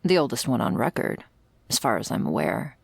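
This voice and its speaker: Woman acting a husky, creaky, sardonic vampire investigator. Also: a bass voice, sing-song, a little fast, dry recording, full character voice. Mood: dry, confiding. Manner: dry, sardonic, swearing, hushed in places. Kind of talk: ASMR roleplay, intimate dramatic monologue.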